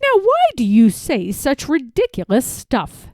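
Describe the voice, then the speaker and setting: A woman voicing a big, gruff, growling male bear from a storybook, scornful and boastful. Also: high voice, huge pitch swings, measured pace, a little mellow, dry recording, clean studio sound, pitched above the performer's own voice, heavily acted. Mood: gruff challenge. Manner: snorting, scornful, emphatic. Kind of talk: storybook read-aloud character voice.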